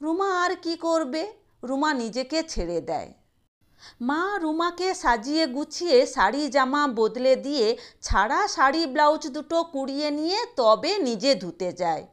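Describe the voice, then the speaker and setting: A woman's voice: high voice, sing-song, a little slow. A woman narrating a literary short story expressively as a storyteller.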